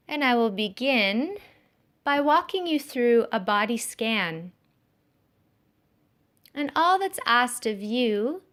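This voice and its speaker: A woman giving guided yoga nidra instruction in a soothing, measured, gently instructive way. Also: on the high side, smooth.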